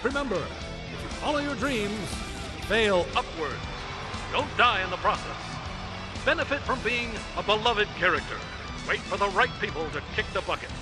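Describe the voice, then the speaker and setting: A man's voice: sits high, lively intonation, normal speed, music in the background. A male actor playing a brash, goofy, self-promoting arena commentator in a video game, with bombastic, cocky showman's patter. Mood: smug, jubilant. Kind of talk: showy sports broadcast patter.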